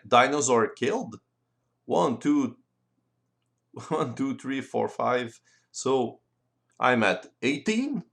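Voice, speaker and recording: A man whose native language is French, explaining game rules in careful, halting, non-native English as an instructional voice-over. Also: medium pitch, a bit bright.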